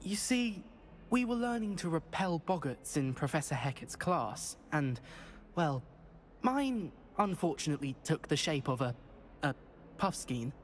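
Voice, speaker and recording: A jittery young wizard student. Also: male voice, a little high, sing-song, a little slow, a little hiss or hum. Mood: anxious. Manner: hesitant, fussy and self-conscious. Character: nervous, self-deprecating young male wizard student. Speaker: game character. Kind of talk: video game dialogue.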